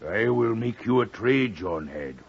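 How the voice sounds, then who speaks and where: A wandering murderer in an old radio play. He speaks slowly and deliberately, low and husky, matter-of-fact and aggrieved. The vintage recording is dull and muffled. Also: animated, exaggerated voice. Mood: sly, confiding. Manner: slow, deliberate dialect speech. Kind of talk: 1950s radio drama acting.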